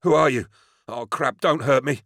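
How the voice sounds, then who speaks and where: A man's voice: medium pitch, measured pace, gravelly, a bit bright, dry recording, studio quality, pitched above the performer's own voice. A man voicing a frightened, gawky mining superintendent with a panicky, pleading manner in an audiobook. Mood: terrified.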